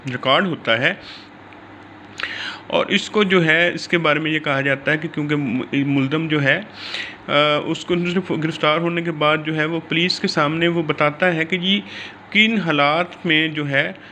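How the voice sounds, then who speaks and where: A man with a Pakistani accent giving an explanatory law lecture, mixing in English terms, in halting, repetitive phrasing. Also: fairly high pitch, bright, forceful tone, a little hiss or hum.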